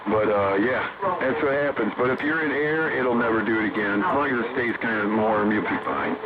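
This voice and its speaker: A man's voice: mid-range voice, a little fast. An older American man chatting casually over CB radio, rambling in a folksy, blunt, salty way. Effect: CB single-sideband radio. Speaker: amateur CB radio operator. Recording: tinny narrowband CB radio, loud static.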